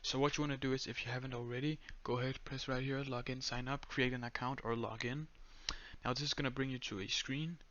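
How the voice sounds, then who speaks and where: A young man with a Danish accent giving a step-by-step screen-recorded tutorial in a flat, monotone voice full of "uh"s and "um"s. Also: medium pitch, brisk, dull audio. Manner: monotone, many fillers. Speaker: tutorial creator.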